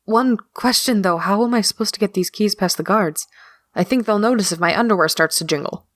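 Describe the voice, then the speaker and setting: A woman acting in an audio drama as a wry, weary prisoner, sardonic and often hushed. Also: mid-range voice, brisk, no room sound, studio quality, natural voice. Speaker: voice actor in a fiction podcast.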